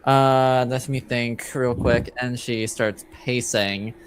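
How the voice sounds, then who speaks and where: A man improvising tabletop game narration as game master, hesitant and halting, with many filler words. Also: mid-range voice, fairly even intonation, a little hiss or hum, rough recording.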